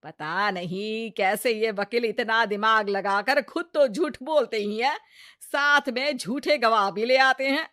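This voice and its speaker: A woman voicing a sharp-tongued, nagging mother-in-law in a Hindi cartoon story, theatrical and taunting. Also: a little high, measured pace. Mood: sarcastic scolding.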